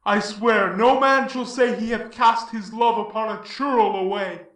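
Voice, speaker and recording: An actor playing a mighty, impassioned demigod hero in a Greek tragedy, declaiming archaic verse in a grand manner. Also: male voice, high-pitched, steady tone, measured pace, a bit bright. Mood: heroic resolve. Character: mighty heroic demigod, grieved, indignant, then boldly resolute. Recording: roomy echo, distant from mic.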